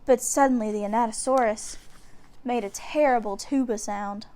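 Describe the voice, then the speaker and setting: High-pitched woman reading a children's story. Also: steady tone, moderate pace, warm tone. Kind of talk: children's book read-aloud narration.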